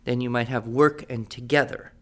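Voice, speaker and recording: A man's voice: mid-range voice, steady tone, moderate pace, fairly bright tone, natural voice. A man playing a patient writing teacher in a radio drama, explaining step by step and checking that students follow. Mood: instructive. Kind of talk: improvised-sounding classroom teaching in a radio drama.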